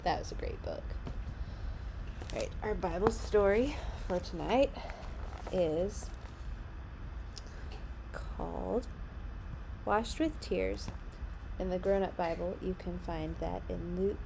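A woman's voice: fairly low pitch, takes it slowly, gravelly, long pauses. A young adult woman reading picture books aloud to children, expressively, with her own little asides on the story. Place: small room at home, indoors. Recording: noticeable background noise, home recording.

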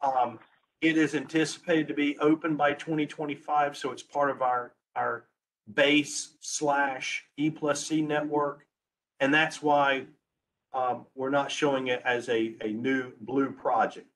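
A man presenting on a webinar as a transportation planner, losing his voice and talking haltingly, restarting his words. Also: mid-range voice, little pitch movement, a bit bright, rough recording. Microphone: video call.

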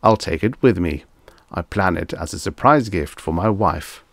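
A man's voice: low voice, animated, moderate pace, creaky, a bit bright, no echo, full character voice. A man voicing a rich, impatient bully in an audiobook story, with a curt, growling manner.

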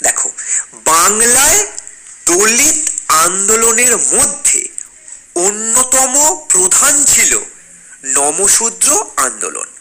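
A man's voice: high voice, sing-song, slow pace, long pauses, a loud crowd, low-quality recording. A man with a Bengali accent from West Bengal teaching an exam lesson to school students, repeating phrases and asking check questions. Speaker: history teacher, online exam coach.

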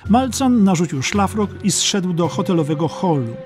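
A man's voice: high voice, lively intonation, moderate pace. A man giving scripted narration of a historical documentary audiobook. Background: music.

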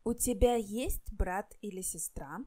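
A native Russian-speaking woman teacher reading clear practice questions to learners. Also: mid-range voice, slow pace, warm tone. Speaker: language teacher. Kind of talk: scripted lesson questions.

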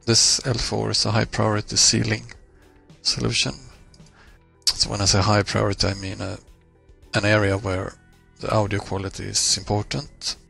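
A man presenting a software tutorial in a measured, halting explanatory way, pausing mid-phrase. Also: on the low side, completely flat delivery, gravelly, slightly rough, faint music behind. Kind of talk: screencast software walkthrough, explanatory.

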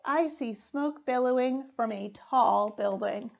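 Slow, high-voiced young woman reading aloud. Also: gravelly, dull audio. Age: young adult. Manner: deliberate, expressive reading. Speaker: firefighter reading a storybook. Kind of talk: children's storybook read-aloud. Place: small room indoors.

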